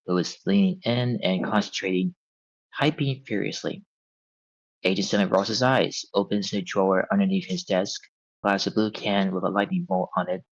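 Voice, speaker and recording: A man reading stage directions as narrator in a live table read, measured and descriptive. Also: low-pitched, no echo, muffled recording.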